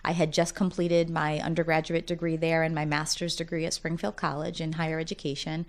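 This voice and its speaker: Brief: woman in her forties, low and upbeat, a talkative college dean telling her story. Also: flat delivery, a little fast. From United States, Massachusetts. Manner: talkative, upbeat storyteller who often laughs while talking. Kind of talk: casual interview conversation.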